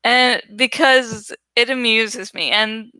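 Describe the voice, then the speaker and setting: A woman's voice: fairly high pitch, takes it slowly, pushed and bright, clean tone, no room sound, low-quality recording. A woman hosting a book read-aloud show, giving informal fan commentary that rambles and digresses. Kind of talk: casual, rambling fan commentary between dramatic readings.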